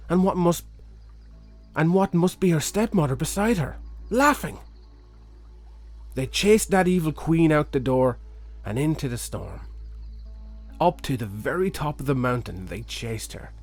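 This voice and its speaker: A man's voice: mid-range voice, a little mellow. A man reading a fairy tale expressively as a children's storyteller.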